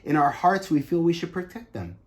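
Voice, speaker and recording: A man's voice: on the high side, very animated, a little fast. A man reading a picture book aloud as a teacher, earnest and emphatic, with explanatory asides to young listeners. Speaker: teacher and host of a children's read-aloud show.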